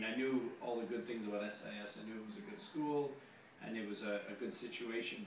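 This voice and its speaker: A hoarse man, a teacher being interviewed, answering casually with many pauses and the odd dry joke. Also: medium pitch. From Canada. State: hoarse, losing his voice. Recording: very echoey room, distant mic, noisy.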